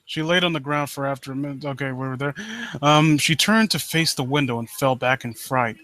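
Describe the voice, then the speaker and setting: A man casually riffing as a host who reads and mocks stories, sarcastic and quick to swear. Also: mid-range voice, sharp tone, dry recording. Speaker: host reading and critiquing horror stories live. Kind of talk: casual riffing commentary with read-aloud passages.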